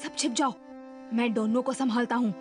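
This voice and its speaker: A woman's voice: on the high side, steady tone, fairly quick, natural voice. A woman voicing a brave, confident young boy hero in a Hindi cartoon, bold and earnest. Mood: alert, determined. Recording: loud music under the voice. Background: loud cartoon score.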